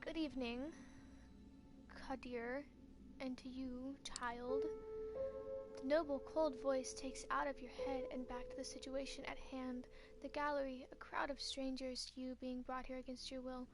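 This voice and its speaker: High-voiced woman reading a story game. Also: flat delivery, moderate pace, hardly any pauses. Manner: second-person narration, with light asides. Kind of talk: reading game text aloud. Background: loud game music.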